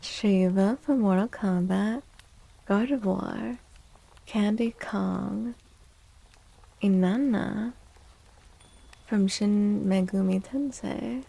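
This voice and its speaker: A woman livestream host chatting in a soft, gentle ASMR style close to the mic, drifting now and then toward a breathy whisper. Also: medium pitch, very slow, pauses often, low-quality recording. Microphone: close microphone.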